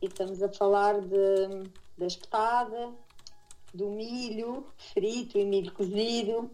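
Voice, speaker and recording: A woman's voice: medium pitch, steady tone, unhurried, dark tone. A middle-aged woman from Madeira with a Portuguese accent, chatting as an interview guest and correcting herself as she tells her stories. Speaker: interview guest, expatriate aviation instructor. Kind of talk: casual conversational interview answers.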